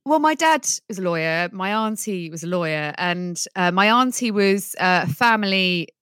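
A woman's voice: mid-range voice, lively intonation, moderate pace, fairly bright tone, clean tone, no room sound, studio quality. A woman who grew up in the Netherlands, speaking English and Dutch from childhood, telling her story as a guest in a chatty, humorous way. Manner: chatty and humorous, laughs often. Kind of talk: casual interview conversation.

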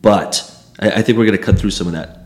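A man with a lower-pitched voice that is hoarse and strained, as if he has nearly lost it. He co-hosts a casual podcast, talking candidly and energetically, and often restarts his sentences. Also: quick pace, darkish tone. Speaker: podcast co-host.

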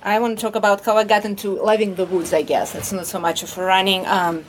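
A woman from Russia with a Russian accent, a native Russian speaker, telling a casual personal story in English, unhurried and fond. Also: mid-range voice, flat delivery, a little hiss or hum.

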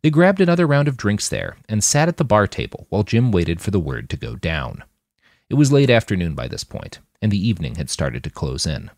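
A man reading a scripted story aloud as an expressive audiobook-style narrator. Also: fairly low pitch, brisk, no echo, studio quality. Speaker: author reading own fiction podcast.

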